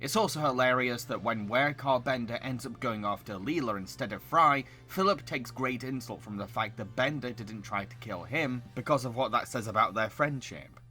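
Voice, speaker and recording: A man's voice: medium pitch, moderate pace, hardly any pauses, music in the background. A man reading scripted narration as a reviewer presenting cartoons. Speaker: video essayist reviewing cartoons.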